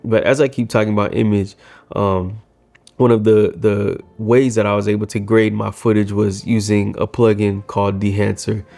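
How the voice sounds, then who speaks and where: A man's voice: on the low side, level tone, normal speed, creaky, mellow. A male filmmaker, a native Swahili speaker, talking in a casual vlog, thoughtful and candid as he critiques his own work.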